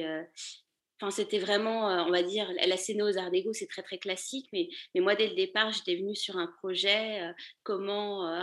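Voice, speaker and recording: A woman's voice: mid-range voice, a bit bright. Woman in her thirties, chatting casually. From Paris, France. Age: 30s. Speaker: podcast guest, fashion accessories designer. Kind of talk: casual interview chat.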